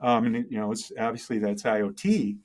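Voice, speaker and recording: A middle-aged man speaking as an interview guest, conversational and halting, thinking aloud and often checking in with the listener. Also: fairly low pitch, fairly even intonation, darkish tone. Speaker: interview guest, tech entrepreneur and engineer. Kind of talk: conversational interview, telling career story.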